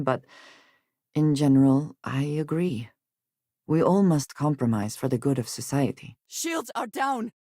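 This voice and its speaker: An actress playing a guarded, wary woman companion in a space video game, with formal, measured phrasing and a dry manner. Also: very deep voice, darkish tone, no echo, full character voice. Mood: measured, serious, then urgent alert.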